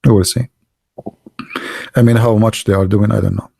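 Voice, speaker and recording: Male streamer, Arabic native, mellow creaky voice, animated casual storyteller, non-native grammar. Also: on the low side, moderate pace, dry recording. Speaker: livestream host. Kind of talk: casual anecdotes and chat with live viewers.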